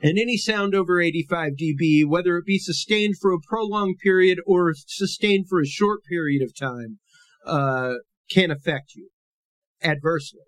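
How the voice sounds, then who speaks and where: A man's voice: sits high, moderate pace, dry recording. A man hosting a podcast, talking through a topic in a loose, conversational, explanatory way with a big, full voice. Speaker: podcast host. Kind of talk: casual educational podcast monologue.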